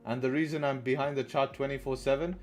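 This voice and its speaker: A young man from Dubai giving casual, relaxed commentary on a live trading stream, repeating phrases and calling viewers bro and man, into a headset in a small room. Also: medium pitch, fast talker, faint music behind.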